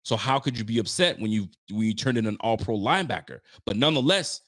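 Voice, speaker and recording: A man in his late thirties hosting a casual sports talk show, animated and chatty, often repeating himself. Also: mid-range voice, fast talker, no echo, studio quality. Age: 30s. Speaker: sports podcast host.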